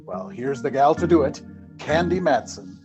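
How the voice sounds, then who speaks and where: A man's voice: mid-range voice, expressive, moderate pace, muffled recording, loud music behind the voice, close to an everyday voice. A man playing a dramatic, wry announcer introducing an old-time radio detective serial.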